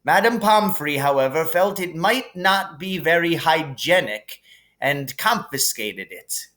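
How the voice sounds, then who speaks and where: A man voicing a kindly, whimsical elderly wizard headmaster in a storybook reading, with calm, gently formal phrasing. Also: on the high side, measured pace, voice pushed up. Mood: amused. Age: older. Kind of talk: audiobook character reading.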